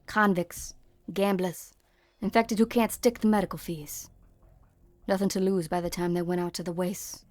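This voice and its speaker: A woman playing a brusque, sharp-tongued frontier woman in an amateur game fan dub, blunt, slangy and scornful. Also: fairly low pitch, steady tone, normal speed, dry recording, natural voice. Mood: dismissive, cynical.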